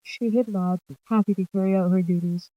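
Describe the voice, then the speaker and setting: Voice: mid-range voice, fairly even intonation, brisk, dry recording, phone-quality sound. A storyteller reading out a scripted animal roleplay tale, narrating and voicing all the characters.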